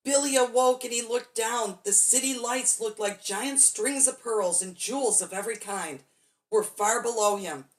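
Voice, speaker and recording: A woman's voice: on the high side, normal speed. A woman storyteller reading a children's bedtime story expressively, drawing out key words for dramatic emphasis.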